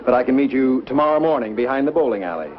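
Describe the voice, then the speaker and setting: A man's voice: mid-range voice, animated, rapid-fire, lightly acted. A man playing a dry, sarcastic boss in an old sitcom. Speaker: wisecracking boss. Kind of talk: 1950s sitcom acting. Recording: lo-fi vintage TV audio.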